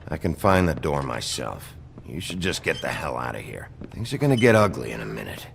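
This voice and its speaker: An actor playing a gruff, weary sheriff in a video game, blunt and curt in his warnings. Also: very animated, a little fast, slight background noise, full character voice. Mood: stern warning. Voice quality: deep gravelly voice. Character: gruff, weary male sheriff, a wolf in human guise.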